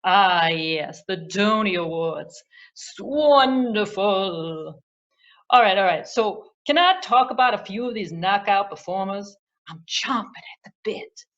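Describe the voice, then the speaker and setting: A woman's voice: mid-range voice, expressive, unhurried, fairly bright tone, muffled recording. A woman voicing a self-important, theatrical male puppet Broadway critic in a grandiose manner for a children's puppet show. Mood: eager, fussy. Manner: grandiose, dramatic, with repeated phrases.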